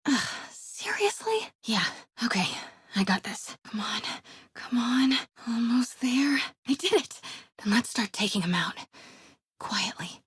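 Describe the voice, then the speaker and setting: An actress playing a brave, quick-witted young woman reporter in a video game, with an American accent, a breathy, husky voice and a wry, conversational, often hushed delivery. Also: mid-range voice, dry recording, clean studio sound, heavily acted. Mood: determined, upbeat, then hushed, urgent. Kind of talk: naturalistic video game voice acting.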